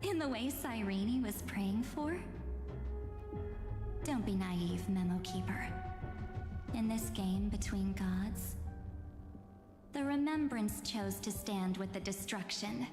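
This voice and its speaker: A woman playing a calm, mocking, enigmatic game character, speaking in a deliberate, condescending and theatrical way. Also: mid-range voice, natural voice. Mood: grave, scornful. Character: calm, mocking, enigmatic woman revealing cruel cosmic truths. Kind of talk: theatrical scripted game dialogue. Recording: echoey cavernous hall effect, music behind.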